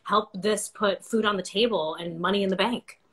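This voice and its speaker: A woman's voice: medium pitch, fairly even intonation, normal speed, fairly bright tone, low-quality recording. A woman in her forties hosting a conversational interview, reflecting and summing up as she goes. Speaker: interview host. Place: indoor home room with bookshelves, video call.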